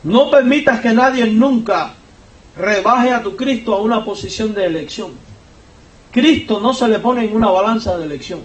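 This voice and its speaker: A man with a Cuban accent, preaching a sermon as pastor, driving his points home by climbing in pitch and volume. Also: moderate pace. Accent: Cuban Spanish. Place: church sanctuary. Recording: echoey church hall, voice from far off.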